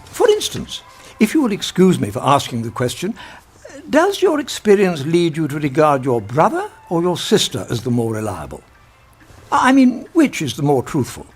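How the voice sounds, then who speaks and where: An actor playing a kindly, logical old professor, speaking deliberately and formally in a radio drama. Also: male voice, fairly high pitch, wildly swooping pitch, husky, faint music behind, heavily acted. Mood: measured, probing. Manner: deliberate, formal, pedantic, gently exasperated.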